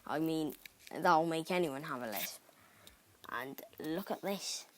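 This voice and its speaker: A young man from Cardiff with a Cardiff Welsh accent, chatting excitably through gameplay commentary with rambling asides. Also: a little high, takes it slowly, slight background noise. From Cardiff, Wales. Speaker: gaming YouTuber.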